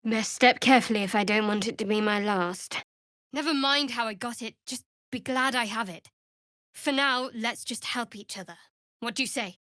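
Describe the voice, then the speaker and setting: A woman playing a guarded young half-elf cleric in a fantasy video game, with a dry, sardonic, measured delivery. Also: a little high, a bit bright, no echo, natural voice. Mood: guarded, observant.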